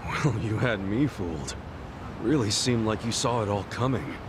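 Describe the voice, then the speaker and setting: An actor playing a loyal young former yakuza who talks in a lively, earnest way. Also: male voice, fairly low pitch, moderate pace, background noise, natural voice. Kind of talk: video game cutscene dub.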